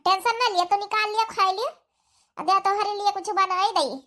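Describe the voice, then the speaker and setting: A woman's voice: very high-pitched, fairly even intonation, normal speed, pushed and bright. A woman voicing a devoted, fussing village wife, chatty and reassuring, with an Awadhi accent in a comedy cartoon. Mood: concerned, reassuring.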